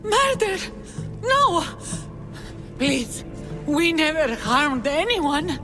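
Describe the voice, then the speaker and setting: A woman's voice: high-pitched, sing-song, extremely slow, music in the background, heavily acted. An actress playing a desperate, defiant starving peasant mother in a video game, pleading with raw emotion. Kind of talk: dramatic game cutscene acting.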